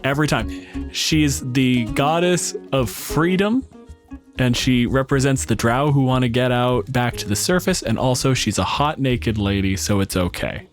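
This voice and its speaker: A man's voice: mid-range voice, normal speed, soft background music. A man chatting casually as a co-host, explaining his opinions in a blunt, sweary way. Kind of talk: casual opinionated panel chat.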